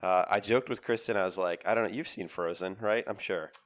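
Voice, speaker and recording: Low-voiced man with a cold. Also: fairly quick. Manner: animated, emphatic with repeated phrases. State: has a cold, voice lower than usual. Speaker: podcast co-host. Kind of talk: casual sports chat.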